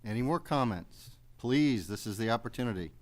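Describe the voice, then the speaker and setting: A man's voice: mid-range voice, animated, normal speed. A man chairing a public meeting with formal procedural announcements and calls for comment.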